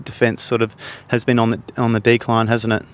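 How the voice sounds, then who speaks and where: A man's voice: mid-range voice, completely flat delivery. A man hosting a podcast interview, chatting casually and chiming in with short affirmations. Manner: friendly, affirming, quick interjections. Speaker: podcast host.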